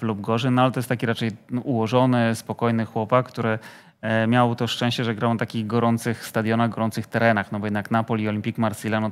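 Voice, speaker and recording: A man's voice: lowish voice, clear, resonant tone. A man talking football as a studio pundit, hesitant and full of fillers, in a TV studio.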